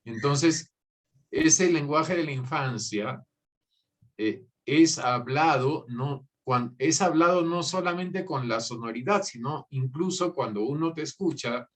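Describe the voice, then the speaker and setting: A man's voice: fairly high pitch, slow. Eager man hosting a writing talk. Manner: enthusiastic, repeats words while building his point. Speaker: workshop host. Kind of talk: conversational interview.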